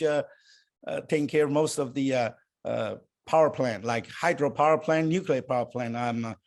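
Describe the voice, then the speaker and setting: Older man born in Taiwan, halting speech. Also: a little high. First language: Chinese. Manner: halting, many fillers and restarts. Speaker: guest. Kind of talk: interview.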